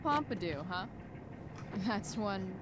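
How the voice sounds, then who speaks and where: A woman's voice: medium pitch, expressive, slow pace, noisy background. An actress playing a rebellious, sardonic teenage girl in a video game, speaking casually. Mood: curious, dry.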